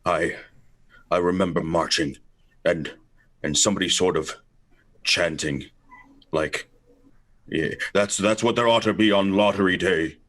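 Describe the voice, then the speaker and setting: A man playing a halting, complaining man in his seventies who clings to old traditions in a radio play. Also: low voice, fairly even intonation, fairly bright tone, pitched below the performer's own voice. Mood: grumbling, nostalgic. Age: 70s.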